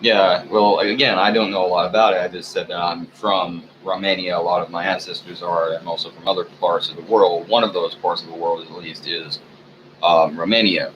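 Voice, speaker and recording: A man with a very deep voice rambles through a sleepy, unscripted monologue at home, stopping often for ums and lost words. The recording sounds dull and muffled, with a clear hiss under it. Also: steady tone, moderate pace. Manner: meandering, frequent ums, sleepy and low-key. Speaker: vlogger. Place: room at home.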